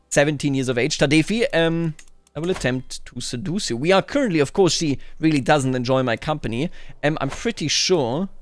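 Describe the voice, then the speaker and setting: A man gives casual roleplay gameplay commentary, musing aloud and slipping into in-character boasting. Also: fairly high pitch. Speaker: video game let's player. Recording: background music under the voice. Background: music.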